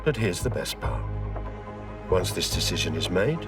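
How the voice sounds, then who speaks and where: An actor playing a ruthless, zealous security company boss in a video game, speaking in measured, emphatic speeches. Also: male voice, low voice, big pitch swings, loud music behind the voice. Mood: grim, persuasive. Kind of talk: dramatic video game cutscene acting.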